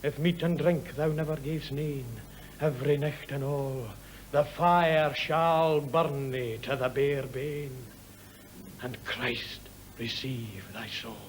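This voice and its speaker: An actor playing an earnest young conscript with a Scottish accent, solemnly reciting verse like an incantation in a drama. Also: male voice, mid-range voice, fairly even intonation, slow pace, a little hiss or hum, close to an everyday voice, low-quality recording.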